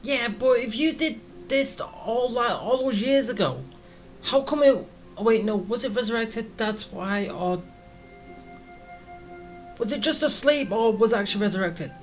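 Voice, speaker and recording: A woman giving casual let's-play commentary, halting as she thinks aloud. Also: fairly high pitch, soft background music, rough recording. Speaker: gaming streamer reacting while playing.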